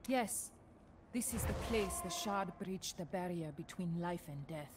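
An actress playing a solemn, steady mystic guide in a fantasy game, measured and ceremonial in delivery. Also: female voice, on the low side, natural voice. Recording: clear background ambience noise.

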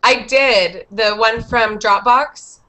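A woman's voice: fairly high pitch, slow pace, pushed and bright. A woman in her thirties hosting a group English class over a video call on a headset, encouraging each student with short praise. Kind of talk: friendly class moderation. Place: small room indoors.

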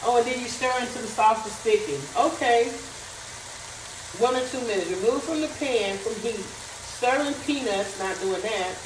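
A woman's voice: on the high side, normal speed. A woman chatting casually while she cooks in her home kitchen, thinking aloud and reading recipe steps. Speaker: cooking vlogger. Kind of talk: casual cooking vlog chat. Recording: echoey kitchen, mic at a distance, frying noise. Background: sizzling and stirring in a pan.

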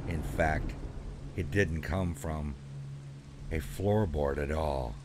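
A man narrating a scripted horror story in the first person, with a slow, measured, ominous delivery. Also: very deep voice. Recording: voice-over with music bed.

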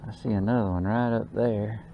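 A man giving excited running commentary while fishing from a small boat on a lake, recorded on a boat-mounted action camera. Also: on the low side, brisk. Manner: excited, drawling exclamations and mutters to the fish. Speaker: fishing channel host. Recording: dull action-camera audio with faint noise.

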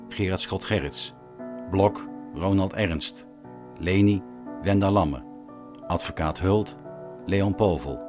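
A man narrating a radio drama in a solemn, measured, didactic way, as a stern storyteller. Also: sits low. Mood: grave narration. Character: stern, measured male prison chaplain telling a parable. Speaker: narrator, actor and director of a radio play.

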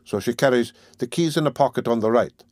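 A man's voice: medium pitch, brisk, a little mellow, no echo, clean studio sound, close to an everyday voice. A man voicing a poor, tense young man who talks in a terse, guarded, brooding way.

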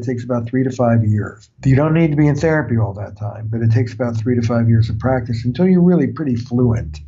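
A man's voice: mid-range voice, warm tone, slightly rough, muffled recording. An older man, a family therapist, explaining his ideas earnestly with analogies in an interview. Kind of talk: reflective interview talk.

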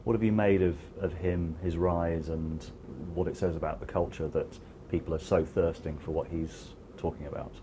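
A man in his thirties, the interviewer, asking questions in a hesitant, halting way. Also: deep voice, steady tone, noisy background.

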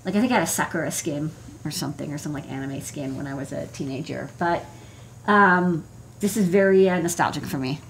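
A woman's voice: sits low, fairly quick, vocal fry, a little hiss or hum, rough recording. An American woman, an electronics engineer, giving an informal, rambling explanation with many asides on a casual livestream. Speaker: electronics engineer presenting her work. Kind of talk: casual livestream tech talk. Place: indoor desk workspace.

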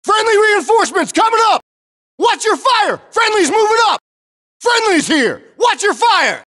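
A man's voice: very high-pitched, very animated, measured pace, pushed and bright, full character voice. An actor playing a US Marine infantryman in a military shooter game, barking urgent battle orders and callouts. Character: young hard-charging US Marine infantryman in battle.

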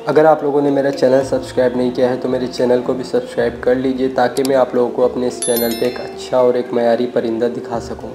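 A man's voice: medium pitch, little pitch movement, quick pace, few pauses, music in the background. A man giving a casual promotional vlog voice-over as a channel host selling birds. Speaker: bird seller and channel host.

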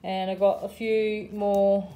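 A woman's voice: mid-range voice, flat delivery, takes it slowly, narrow, tinny audio, slight background noise. A middle-aged Australian woman chatting casually through her grocery haul, with frequent ums and price comments. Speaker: vlogger showing a grocery haul. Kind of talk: casual chat. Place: home kitchen or dining room. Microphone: phone camera held over a table.

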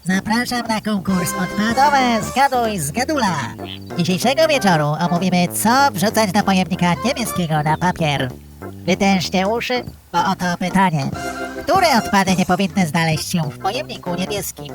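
A woman's voice: medium pitch, expressive, moderate pace, natural voice. A woman playing an upbeat, emphatic late-night radio quiz presenter in a children's audio drama. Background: music.

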